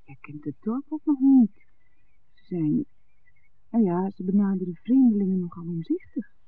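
An actress playing a lively, romantic young village barmaid in a 1960s Dutch radio drama. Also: female voice, mid-range voice, normal speed, slightly rough, phone-quality sound. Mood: tender, earnest. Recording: thin vintage 1969 radio recording.

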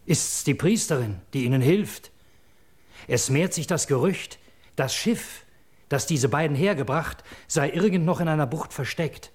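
An actor playing a wary, suspicious royal attendant, speaking formal verse earnestly in a husky voice in a classical radio drama. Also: male voice, on the high side, animated, measured pace. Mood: troubled suspicion.

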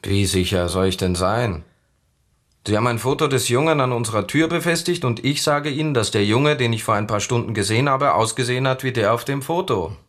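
An actor playing a gruff but helpful suburban neighbor in a naturalistic radio drama. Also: male voice, mid-range voice, a little fast, a bit bright, natural voice. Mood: gruff, cooperative.

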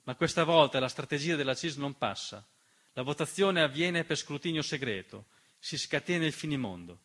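Guy doing emphatic theatre monologue, sharp bright voice a bit high, piano behind. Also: expressive. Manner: emphatic, rhetorical, with deliberate repetition. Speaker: narrator and writer-performer of a theatre monologue. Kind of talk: dramatic political theatre monologue. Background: piano accompaniment.

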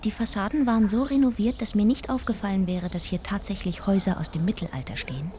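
An actress in a radio drama playing an inquisitive, fond deaconess who also narrates the story intimately. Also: female voice, mid-range voice, fast, mellow, a little hiss or hum, natural voice. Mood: calm narration.

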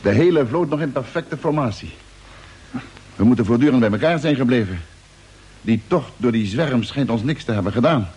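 A man's voice: medium pitch, expressive, normal speed, a little mellow, slight background noise, lightly acted. A man playing a blunt, insistent spaceship crewman in a radio play, speaking in emphatic short phrases. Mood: alarmed.

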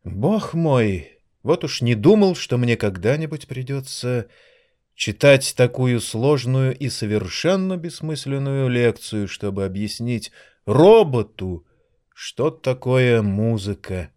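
A man's voice: medium pitch, expressive, slow, a little mellow. Man playing a theatrical, swooping, cultured piano maestro. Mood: lecturing, exasperated. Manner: theatrical, ironic, lecturing. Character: cultured male piano maestro, condescending and passionate. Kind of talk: audio drama acting.

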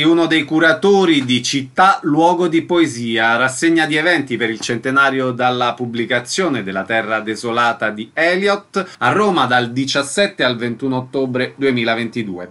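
A man's voice: medium pitch, normal speed, hardly any pauses, bright, forceful tone. A man hosting radio arts interviews and announcing events.

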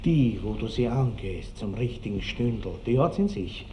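An actor playing a boastful, lecherous country baron with an Austrian accent and pompous, relishing delivery in a radio drama. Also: male voice, fairly low pitch, lively intonation, moderate pace, mellow, natural voice. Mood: gloating. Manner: pompous, rambling, relishing every word. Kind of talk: classic radio drama acting. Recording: noisy old 1960s radio drama recording.

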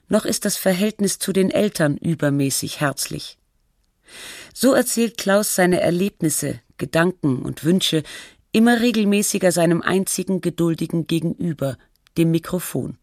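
A performer playing a sober radio documentary narrator, reading a scripted feature in a measured, factual, reflective way. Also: mid-range voice, darkish tone, no echo, clean studio sound, natural voice. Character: sober documentary narrator telling an artist's life.

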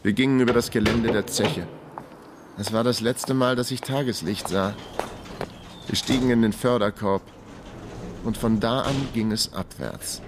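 A man's voice: on the low side, moderate pace, long pauses, background noise, lightly acted. An actor playing a trapped, wryly self-mocking man who narrates his own story in a radio crime drama. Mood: grim. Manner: wry, matter-of-fact musing. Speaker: narrator and lead character.

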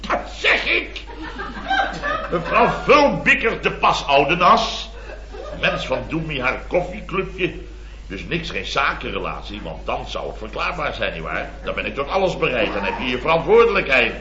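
Blustery male contractor, middle-aged, highish swooping delivery, rambling boaster in vintage radio comedy with dull sound. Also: sing-song, normal speed, bright, forceful tone. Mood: exasperated grumbling. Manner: rambling, exclamatory, constant tag words. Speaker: comic lead actor. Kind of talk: 1970s radio comedy acting. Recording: dull old radio recording, roomy, audience laughter.

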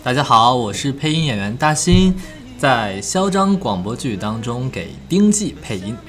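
A man's voice: medium pitch, big pitch swings, normal speed, a bit bright, faint music behind. A man who works as a voice actor, introducing himself and chatting casually as a live guest.

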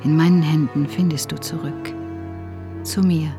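A low female voice speaks as a wistful, tender lover in a radio play. The delivery is very slow, lyrical and intimate, with music clearly behind it. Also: steady tone. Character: tender, wistful woman addressing a sleeping lover. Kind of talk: hushed poetic radio drama monologue.

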